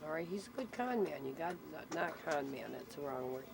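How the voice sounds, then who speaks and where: A woman's voice: low-pitched, animated, fairly quick, creaky. Woman just chatting and explaining stuff, kinda opinionated, bit of traffic noise behind her. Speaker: interviewee explaining local nicknames. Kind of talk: casual conversational recollection. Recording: noisy ambient recording with vehicle sounds.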